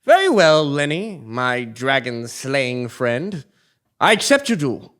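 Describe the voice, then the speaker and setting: A man's voice: medium pitch, very animated, slow pace, a bit bright. A man acting a pompous, sly king in a comic stage play, with a haughty theatrical delivery. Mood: haughty, boastful.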